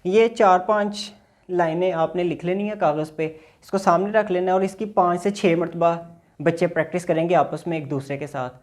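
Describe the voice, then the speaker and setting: A man's voice: sits high, moderate pace, darkish tone. A man teaching basic English in a recorded lecture, patiently explaining and reading example dialogues aloud.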